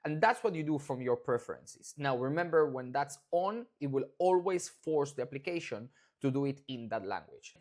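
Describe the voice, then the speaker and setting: A software maker from Argentina presents product updates in English with an Argentine Spanish accent. The voice sits in a middle pitch range. The delivery is casual and enthusiastic, like an informal demo for users. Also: animated, moderate pace.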